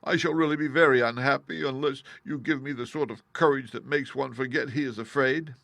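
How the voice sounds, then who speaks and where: A man's voice: medium pitch, brisk, gravelly, fairly bright tone, no room sound. A man voicing a fearful lion who speaks anxiously and hesitantly, drawing out his words.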